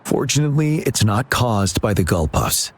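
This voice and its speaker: Husky, calm military commander. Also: male voice, fairly low pitch, full character voice. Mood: calm, analytical. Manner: measured, formal. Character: calm, formal, attentive male military commander. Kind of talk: video game voice acting.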